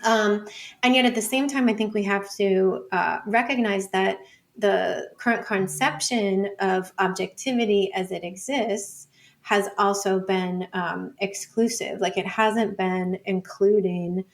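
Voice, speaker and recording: A woman speaking as an interview guest, thinking aloud in an informal, halting and discursive way. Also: medium pitch, steady tone, vocal fry. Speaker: podcast guest, data and feminism scholar.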